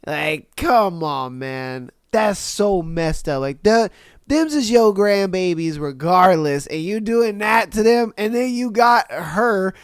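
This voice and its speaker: A man's voice: sits high, expressive, takes it slowly, clear, resonant tone, no room sound, clean studio sound. A man hosting a podcast, still recovering from an illness, with a slightly nasal voice and loose, slangy, profane reactions. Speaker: podcast host. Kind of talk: casual commentary on read-out posts.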